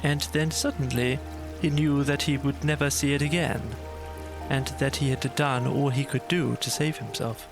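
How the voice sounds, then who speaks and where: Creaky-edged man narrating a bedtime story. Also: medium pitch, steady tone, normal speed, music in the background.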